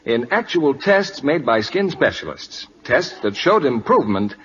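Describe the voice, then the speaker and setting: Bright, animated male radio pitchman. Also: unhurried, a little hiss or hum. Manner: polished salesman-like pitch. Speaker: radio commercial announcer. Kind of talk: 1940s scripted radio advertisement. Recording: dull old radio recording.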